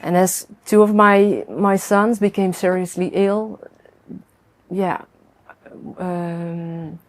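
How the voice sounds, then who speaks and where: A Dutch woman in her fifties, a midwife, telling her own story earnestly, with pauses, fillers and restarts. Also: fairly low pitch, steady tone, no echo. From Netherlands. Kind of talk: personal testimony.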